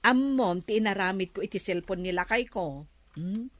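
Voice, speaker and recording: A woman playing a teasing, gossipy confidante in an audio drama, with a playful, expressive delivery. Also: mid-range voice, moderate pace, no room sound, lightly acted. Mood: confiding. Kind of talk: Filipino audio drama acting.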